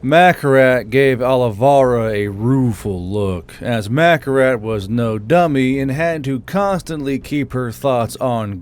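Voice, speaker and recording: A man's voice: mid-range voice, darkish tone, smooth. A man narrating a scripted fantasy story.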